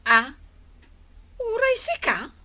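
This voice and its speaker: A woman acting in an Ilocano radio drama as an excitable, gossipy, touchy village woman with an Ilocano accent. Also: very high voice, slow pace, a little hiss or hum, heavily acted. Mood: resentful, scornful.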